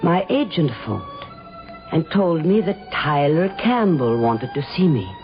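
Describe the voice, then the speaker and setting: A proud, secretive woman in a radio drama. She speaks with deliberate, imperious theatricality and wide swings of pitch. The recording is dull, with faint music. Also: big pitch swings. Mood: resigned, confiding. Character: proud, willful, mysterious actress with dark secrets.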